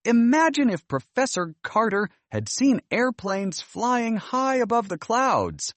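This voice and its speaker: A man voicing a kindly, wise grandmother in a children's storybook, gently and expressively encouraging her grandchildren. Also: very high voice, very animated, slow, no echo, voice pushed up, exaggerated voice. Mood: playful.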